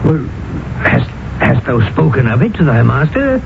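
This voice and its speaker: An actor playing a concerned gentleman who both narrates and takes part in the tale, with formal, expressive delivery. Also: medium pitch, gravelly, muffled recording, background noise. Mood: probing. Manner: expressive, formal, measured storytelling. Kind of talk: old-time radio drama acting and narration. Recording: thin old radio broadcast, music behind.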